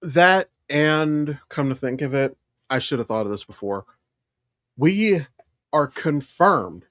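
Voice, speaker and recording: An American man co-hosting a podcast in casual chat, rambling and halting as he thinks aloud. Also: fairly high pitch, lively intonation, fairly bright tone, no echo, studio quality. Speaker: podcast co-host. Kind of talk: casual chat about comics and films.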